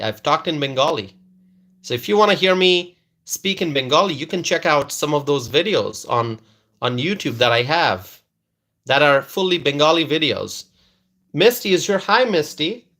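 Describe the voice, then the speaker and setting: Forceful man livestreaming politics. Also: on the high side. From Bangladesh. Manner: repetitive, keeps adding "okay?" tag questions; hesitant fillers and restarts, greets viewers by name. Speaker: live stream host. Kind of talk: political commentary live stream.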